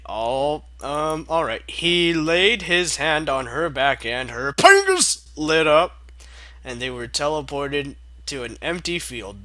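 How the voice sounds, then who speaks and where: A young man reads a fan story aloud with a very bright, forceful voice that swings widely in pitch. He keeps stopping to mock the text in sarcastic, exasperated asides, and the reading stays loose and comedic. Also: dry recording. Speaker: fanfic reader.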